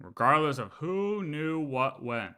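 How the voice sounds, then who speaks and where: A man's voice: fairly high pitch, very animated, slow, bright, forceful tone, clean tone. A man livestreaming a game, giving casual reaction commentary as he goes.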